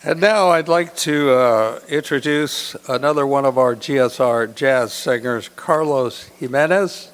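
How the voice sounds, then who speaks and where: A man's voice: mid-range voice, unhurried, clean tone. A man announcing as the host of a live radio theatre show, in an old-time radio advertising style. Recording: live stage performance.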